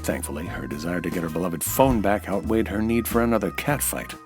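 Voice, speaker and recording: A man playing a hard-boiled American nightclub owner, dry and deadpan, in radio noir style. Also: low voice, a little fast, music in the background. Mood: wry narration. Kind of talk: 1940s radio noir acting, scripted narration.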